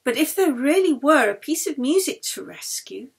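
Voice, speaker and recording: A woman narrating a novel as its author, with dramatic, deliberate phrasing. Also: sits high, big pitch swings, vocal fry. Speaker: author reading their own novel. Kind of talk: expressive audiobook narration. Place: recorded at home.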